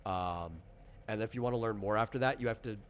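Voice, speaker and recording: Low-voiced man explaining game rules, background noise. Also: fairly quick. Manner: informal, explanatory, illustrates with hypothetical examples. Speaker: game designer and game master.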